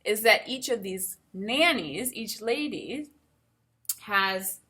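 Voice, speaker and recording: A woman teacher reading a storybook aloud and pausing to explain it simply to young learners. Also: mid-range voice, animated, pushed and bright. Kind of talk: read-aloud lesson with think-aloud commentary.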